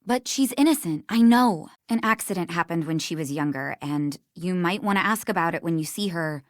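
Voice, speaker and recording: An actress voicing an earnest, guarded young museum worker in a video game, speaking emphatically and protectively. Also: female voice, fairly low pitch, animated, moderate pace, no echo, studio quality, lightly acted. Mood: defensive, tense.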